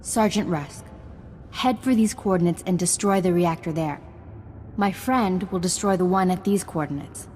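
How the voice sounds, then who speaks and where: A woman playing a composed, resolute Jedi master in a video game, speaking in a measured, earnest and authoritative way. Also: lowish voice, a little mellow, slight background noise, close to an everyday voice. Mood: businesslike. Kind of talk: scripted video game acting. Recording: game music behind.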